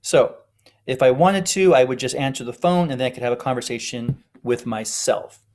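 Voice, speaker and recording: A man's voice: medium pitch, normal speed, darkish tone. A man giving an informal, step-by-step tutorial narration as an instructor. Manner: explanatory, step-by-step.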